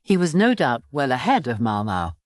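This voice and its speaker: A woman's voice: a bass voice, sing-song, normal speed, no room sound, studio quality. A woman reading scripted story narration for an audiobook.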